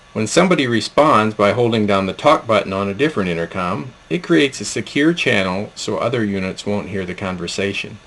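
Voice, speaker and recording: A man's voice: lowish voice, a bit bright, poor audio. A man giving an instructional product demo narration in a plain, explanatory way. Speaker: product presenter.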